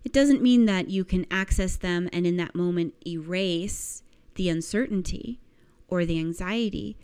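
Woman, lowish pitch, slightly croaky, unhurried pace, deliberate emphatic coaching, rhetorical questions. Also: warm tone. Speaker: life coach giving a lesson. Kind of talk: motivational coaching talk to camera.

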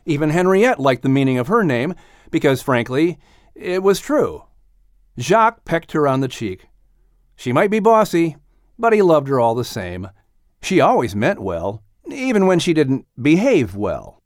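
A man narrating a children's story audiobook in an expressive, scripted storytelling style. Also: on the high side, unhurried, no echo, clean studio sound.

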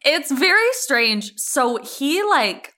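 A woman's voice: high voice, very animated, takes it slowly, bright, forceful tone. A woman co-hosting a podcast, bantering casually about television in an emphatic, slangy, gushing way. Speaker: podcast co-host.

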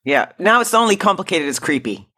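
A woman's voice: fairly low pitch, sing-song, brisk, bright, forceful tone, slightly rough. A woman co-hosting a chat show, cutting in with skeptical, dry questions. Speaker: co-host. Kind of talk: casual chat.

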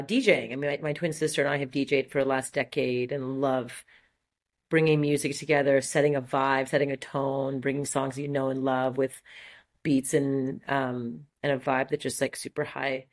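A woman's voice: extremely low pitch, little pitch movement, normal speed. A woman in her forties from Montreal, a native French speaker, talking enthusiastically as an interview guest with many fillers and restarts. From Montreal, Canada. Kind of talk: casual interview conversation.

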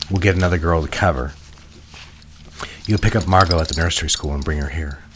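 A male actor as a jealous, controlling lover in an audio drama, with a very low voice. He gives orders in a measured, intimate way, over noticeable background noise. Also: natural voice. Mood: controlling, tender. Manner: measured, directive, intimate murmuring.

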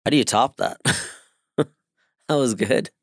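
A man co-hosting a casual podcast, soft-spoken and trailing off. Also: medium pitch, slow, no echo, studio quality. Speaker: podcast co-host.

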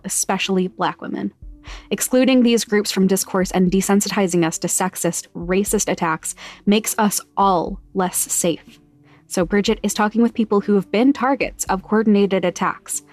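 A woman's voice: mid-range voice, a little mellow. A woman reading scripted podcast credits and promos as an announcer.

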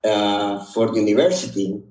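A middle-aged or older man from Honduras, a native Spanish speaker with a Honduran accent, telling personal stories in a halting, reflective way. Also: fairly low pitch, darkish tone. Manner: halting, reflective, often repeats "you know". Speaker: podcast guest. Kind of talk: personal storytelling in conversation. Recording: roomy echo, distant microphone.

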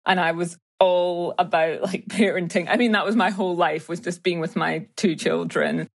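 Low-voiced Scottish woman, chatty guest. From Scotland. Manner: chatty, with frequent light laughs and fillers. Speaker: guest, YouTube creator. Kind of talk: casual interview chat.

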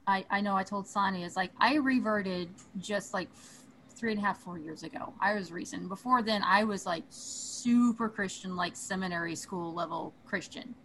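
A woman's voice: mid-range voice, normal speed, a bit bright, low-quality recording. Woman, reflective and conversational, loops back to her point, light hum behind. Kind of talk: casual group chat.

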